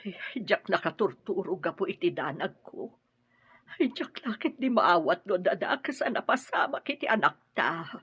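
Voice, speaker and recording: A woman's voice: on the low side, very animated, normal speed, fairly bright tone, husky, muffled recording, heavily acted. An actress playing a fretful middle-aged mother in an audio drama. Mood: anxious.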